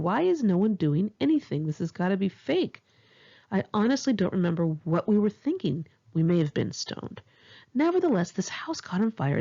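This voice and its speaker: Expressive creaky-voiced woman reading her memoir. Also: medium pitch, muffled recording. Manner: candid, wry, profane, conversational. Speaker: author reading own memoir. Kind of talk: memoir audiobook narration with casual asides.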